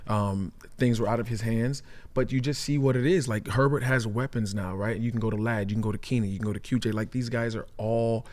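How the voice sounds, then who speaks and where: A man's voice: lowish voice, fairly even intonation, fairly quick, darkish tone. A man in casual sports podcast chat as an analyst, conversational and often seeking agreement with short tag questions. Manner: conversational, often checks in with "right?". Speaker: sports analyst, former pro player.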